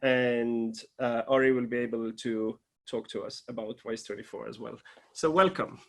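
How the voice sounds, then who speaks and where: A man's voice: medium pitch, fairly even intonation, moderate pace, gravelly, a bit bright. A man moderating a conference keynote, speaking in a friendly way with hesitant fillers. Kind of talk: panel moderation.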